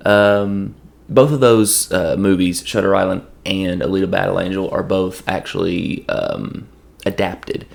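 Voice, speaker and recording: A hoarse man with a gravelly voice from allergies, reviewing a movie in a rambling, casual way with lots of restarts. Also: sits low. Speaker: podcast host.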